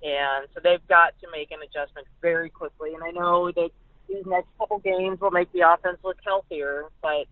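A woman's voice: fairly low pitch, steady tone. Woman sportswriter on a tinny phone line. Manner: halting, repeating words. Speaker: sportswriter guest. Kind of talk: casual football analysis over the phone. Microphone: phone call.

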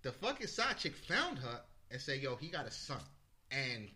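A man from the Bronx telling a story as a podcast guest, animated, swearing freely and acting out what people said. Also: mid-range voice, moderate pace, slight background noise. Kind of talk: casual storytelling.

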